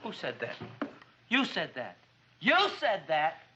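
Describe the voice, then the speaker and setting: An actor playing a meek, naive young shop assistant in an old comedy film, earnest and boyish, with an American accent. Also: male voice, high-pitched, expressive, moderate pace. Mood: astonished. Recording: dull old film soundtrack with music.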